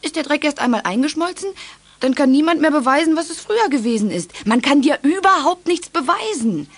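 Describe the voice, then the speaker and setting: A woman's voice: sits high, animated, a little fast. An actress playing a brazen female accomplice of a crook in a radio play, pert and insistent. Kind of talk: 1980s German youth radio play acting.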